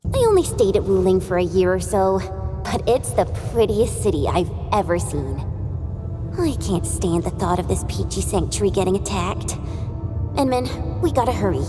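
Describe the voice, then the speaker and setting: A woman voicing an earnest, spirited young operative in a video game, talking in an expressive, eager, casual way. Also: medium pitch, normal speed, close to an everyday voice. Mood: earnest, determined, then eager, urging. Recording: loud game music behind. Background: music.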